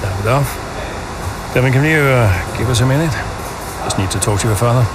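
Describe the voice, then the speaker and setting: An actor playing a calm, perceptive middle-aged racing team boss, speaking in a measured, frank way. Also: male voice, mid-range voice, heavy background noise, close to an everyday voice. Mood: concerned, wary. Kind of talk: cinematic game story dialogue.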